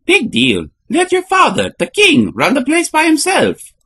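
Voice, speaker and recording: A woman's voice: high voice, wildly swooping pitch, a little slow, noisy background, exaggerated voice. A woman voicing a nervous, wisecracking male plumber hero in a comic book fan dub, hesitant and exclamatory. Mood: skeptical. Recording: music behind the voice.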